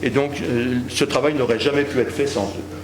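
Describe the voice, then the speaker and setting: A man giving a formal academic lecture with measured phrasing. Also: mid-range voice, steady tone. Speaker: historical speaker concluding a talk. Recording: echoey hall with background noise.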